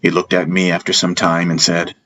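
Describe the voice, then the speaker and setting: Low-voiced man narrating fantasy, solemn. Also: completely flat delivery, a little fast, a bit bright. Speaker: audiobook narrator. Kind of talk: dramatic scripted fantasy narration in first person.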